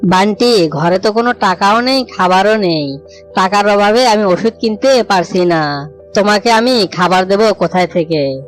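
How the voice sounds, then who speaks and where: A woman's voice: mid-range voice, normal speed, soft background music, natural voice. A woman voicing a poor, sick father bird in a cartoon story, sorrowful and resigned. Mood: weary, helpless. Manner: sad, resigned.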